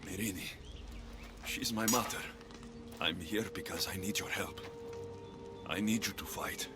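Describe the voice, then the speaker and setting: An actor playing a bold young Greek warrior hero in a video game, earnest and determined with a wry edge. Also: male voice, low-pitched, a little slow, over loud music. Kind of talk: video game cutscene dialogue.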